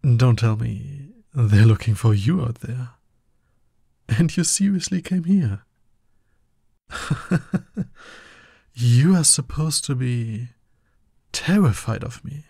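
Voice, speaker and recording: Guy acting as a smug dominant villain for asmr roleplay, mellow gravelly voice, real close and dry, taunting. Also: mid-range voice, slow pace, pauses often, no room sound. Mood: tense, exasperated. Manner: taunting, drawling, mock-exasperated. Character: smug, dominant male villain tending his captive nemesis.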